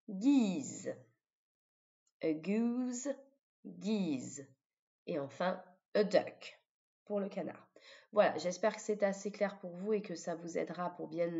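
Slow-paced French woman teacher, dull sound. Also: on the low side, dark tone. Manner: didactic, deliberate repetition of words. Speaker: English teacher. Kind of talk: vocabulary lesson, repeating words for pupils. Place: voice-over of a screen recording.

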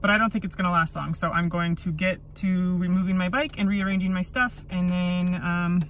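A woman's voice: lowish voice, fairly even intonation, brisk, fairly bright tone, slight background noise. A woman in her thirties vlogging alone from inside a parked jeep at a rainy campsite, thinking aloud with many ums. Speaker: solo road-trip vlogger. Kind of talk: casual vlog chat. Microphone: phone camera at arm's length.

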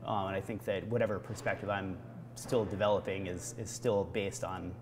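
An American man in his thirties from Vermont giving reflective interview answers, haltingly, searching for words. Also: low-pitched, little pitch movement. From Vermont, United States. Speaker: brewery founder being interviewed. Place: indoor barrel room of a brewery. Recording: noticeable background room noise.

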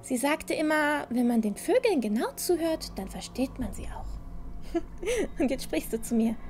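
A woman's voice: high voice, sing-song, unhurried, a little mellow, soft background music. A woman playing a curious, adventurous young village girl in a fantasy audio drama, often muttering to herself. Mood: startled, amused.

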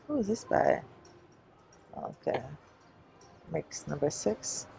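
A woman with a low, fry-edged voice talks at an unhurried pace, musing to her viewers in a casual shopping vlog. She is recorded inside a big store with faint background noise. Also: very animated, dark tone. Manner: musing, chatting to viewers while browsing. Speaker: shopping vlogger. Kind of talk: casual shop-with-me vlog chat. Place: inside a large shoe store.

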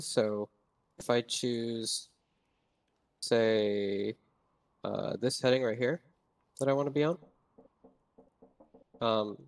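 A man's voice: mid-range voice, a little mellow, no echo. Young male narrator, loose unscripted tutorial talk, dry and close. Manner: rambling, many ums and restarts. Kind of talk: casual gameplay tutorial.

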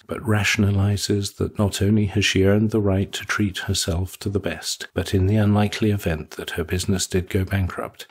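A man narrating a scripted short story for an audiobook, with a husky, rough-edged voice. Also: low voice.

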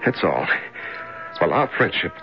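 An actor playing a weak, despairing prisoner in a radio drama, rambling and halting with bitter resignation. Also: male voice, deep voice, very animated, music in the background. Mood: wounded, bitter. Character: weak, despairing male prisoner talking to a mouse. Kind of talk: 1970s radio drama acting. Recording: dull vintage radio recording.

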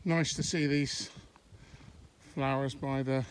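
A middle-aged man from England chatting casually as he films a country walk on his phone, pausing mid-sentence as he goes. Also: medium pitch, fairly even intonation. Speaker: walking vlogger. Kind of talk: casual walking commentary. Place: outdoors on country lanes and fields. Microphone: handheld phone camera while walking. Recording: faint outdoor background noise.

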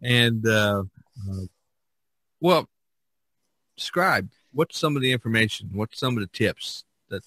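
A man's voice: fairly low pitch, lively intonation, unhurried, pauses often, pushed and bright, no room sound. A man hosting a podcast in a casual, rambling and jokey way. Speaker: podcast host. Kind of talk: casual chat about painting.

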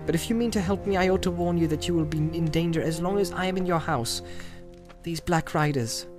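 A man's voice: a little high, fairly quick, lightly acted. A man voicing an earnest, weary and somewhat impatient young male hobbit traveller in an audiobook. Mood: tired, worried. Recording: music behind the voice.

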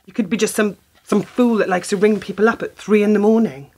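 A woman's voice: medium pitch, steady tone, a little fast, mellow, husky, natural voice. An actress playing a wary, sardonic young working woman in a radio play, curt, dry and challenging. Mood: challenging, suspicious.

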